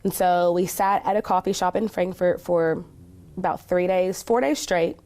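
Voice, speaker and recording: A woman's voice: on the low side, fairly even intonation, normal speed, darkish tone. An American woman from Kentucky giving conversational interview answers as a community advocate, earnest and emphatic, speaking with conviction. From Kentucky, United States.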